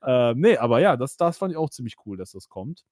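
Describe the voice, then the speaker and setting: A man's voice: medium pitch, sing-song, a little fast, darkish tone, no room sound, clean studio sound. A man hosting a casual podcast about games, chatty and full of fillers, laughing now and then. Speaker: podcast host. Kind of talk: casual gaming podcast chat.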